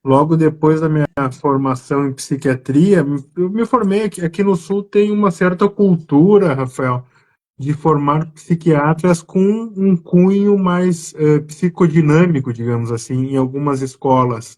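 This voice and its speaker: A man's voice: a little high, a little slow, a little mellow. A man from southern Brazil with a gaúcho accent, a psychiatrist guest explaining his work earnestly over a video call, with hesitant restarts. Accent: Brazilian gaúcho Portuguese. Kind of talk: conversational explanation on a live stream.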